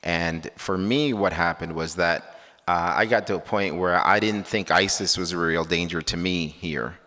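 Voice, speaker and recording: A deep-voiced man walks an audience through his slides. He speaks earnestly, often restarting phrases, and his voice carries a long reverb from a large hall. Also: moderate pace, pushed and bright. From Cuba. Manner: earnest, with frequent restarts and fillers. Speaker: artist giving a talk. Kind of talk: presentation of his work with slides.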